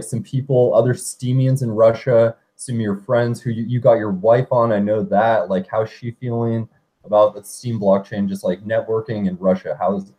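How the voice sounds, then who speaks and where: A man's voice: on the low side, dark tone, rough recording. A man in a casual group chat, talking in a loose, chatty way full of "like" and "you know".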